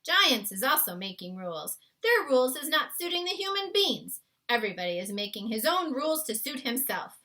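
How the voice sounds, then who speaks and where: A woman's voice: sits high, very animated, normal speed, hardly any pauses, sharp tone, voice pushed up, full character voice. A woman voicing a big, friendly male giant in a children's storybook, earnest and emphatic, with muddled homespun grammar. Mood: earnest reasoning. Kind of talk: children's storybook read-aloud.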